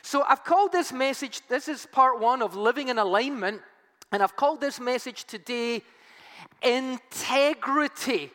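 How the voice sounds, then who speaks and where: A man's voice: very high voice, measured pace, a bit bright. A man preaching a sermon as pastor, his voice strained and nearly gone, talking in a chatty way with self-mocking humor. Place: church hall. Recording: long hall reverb, faint music.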